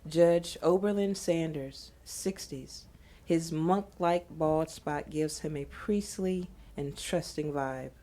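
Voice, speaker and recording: Female narrator-host, mellow and unhurried, reading stage directions for audio drama. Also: sits low. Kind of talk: scripted narration of screenplay directions.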